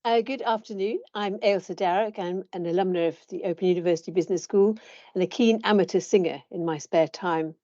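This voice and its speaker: Low, mellow-voiced woman guest. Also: fairly quick, dry recording, muffled recording. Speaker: guest, choral society chair. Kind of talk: prepared self-introduction.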